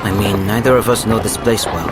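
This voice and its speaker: A man's voice: lowish voice, a little fast, loud music behind the voice, lightly acted. An actor playing an easygoing, practical male companion with a casual, reassuring way of talking.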